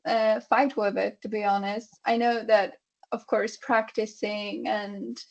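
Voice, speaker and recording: A woman's voice: medium pitch, flat delivery, slow, vocal fry. A woman whose first language is Polish asks a question at a workshop, hesitant and full of fillers. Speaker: workshop participant. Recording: dull lo-fi audio.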